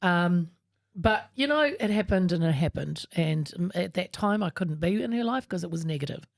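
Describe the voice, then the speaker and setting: A woman's voice: on the low side, animated, moderate pace, gravelly, dry recording, clean studio sound. A woman co-hosting a casual podcast chat, talking openly and laughing often. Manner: chatty, laughs often, opens up on personal matters. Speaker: podcast co-host.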